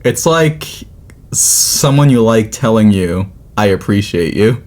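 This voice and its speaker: A woman with an extremely low-pitched voice in a casual podcast conversation. She is wry and conversational. She often hesitates as she works out a thought aloud. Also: expressive, slow, darkish tone. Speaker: podcast co-host. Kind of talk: casual chat.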